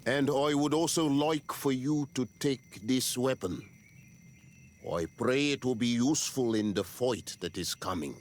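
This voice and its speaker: Man acting a slow, solemn island chieftain. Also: medium pitch, natural voice. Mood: solemn blessing. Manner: solemn, deliberate, ceremonial. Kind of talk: dramatic video game dialogue.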